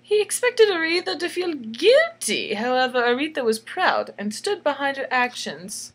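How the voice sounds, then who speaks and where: A woman's voice: high-pitched, very animated, normal speed, a bit bright. A woman reading a bedtime story in a theatrical, tongue-in-cheek way, giggly and chatty, repeating phrases for emphasis. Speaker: storyteller and illustrator.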